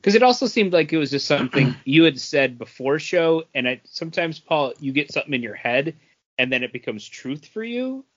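A man's voice: a little high, big pitch swings, brisk. A man chatting casually as a co-host on a podcast. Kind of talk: casual chat, describing drinks and comics. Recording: dull, lo-fi audio.

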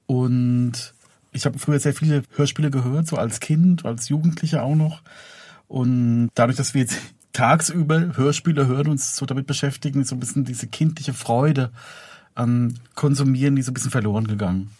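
A man gives a casual, reflective interview as a guest. His voice is husky and medium in pitch, recorded without any room sound. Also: a little fast, a little mellow, no echo. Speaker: audio drama producer, interview guest.

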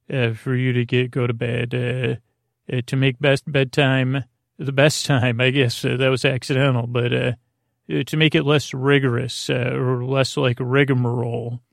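A man hosting a sleep podcast, rambling in a hesitant, meandering, whimsical way with a creaky, gravelly voice. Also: mid-range voice, steady tone, a little slow, dry recording, studio quality. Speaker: podcast host.

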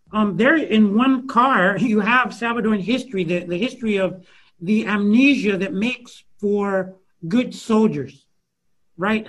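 A man with a notably high voice answers an audience question as an author. He talks loosely, full of "you know" and restarts, circling back as he builds his point. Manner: many fillers and restarts, searching for words. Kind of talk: thoughtful conversational Q&A answer.

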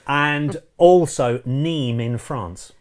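A man's voice: medium pitch, very slow. A middle-aged English man co-hosting a podcast, chatting casually with a dry, wry humour. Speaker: podcast co-host. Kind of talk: casual chat.

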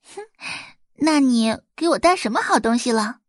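A woman's voice: a little high, lively intonation, no room sound, studio quality, voice pushed up, close to an everyday voice. A woman voicing a sweet, spoiled young girl who wheedles cutely in an audio drama. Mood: playful, pouting. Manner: cutesy wheedling with drawn-out words. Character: sweet, clingy, spoiled teenage younger sister, coquettish and pleading. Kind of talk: Chinese audio drama acting.

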